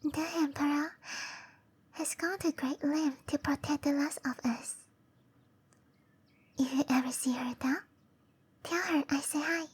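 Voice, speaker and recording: A woman voicing a shy, gentle young cherry blossom dryad in a breathy, hesitant whisper for roleplay ASMR. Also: sits high, steady tone, unhurried, long pauses. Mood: wistful, tender. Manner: hesitant, bashful, softly spoken. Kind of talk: roleplay ASMR, intimate and slow.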